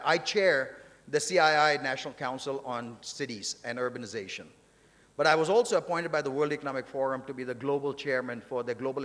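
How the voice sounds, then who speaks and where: A man's voice: medium pitch, a bit bright. A man giving a conference speech as session chair, conversational yet emphatic, repeating phrases for emphasis. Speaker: session chair and speaker at a technology summit. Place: large indoor conference hall, on stage. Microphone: podium gooseneck microphones. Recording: reverberant hall sound through podium microphone.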